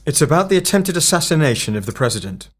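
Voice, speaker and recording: A slightly high-voiced male investigator of strange phenomena acted by a man in an audio drama. He is terse and analytical, speaking in deliberate, matter-of-fact phrases. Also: very animated, slightly rough. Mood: terse, insistent. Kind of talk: fan-made audio drama acting.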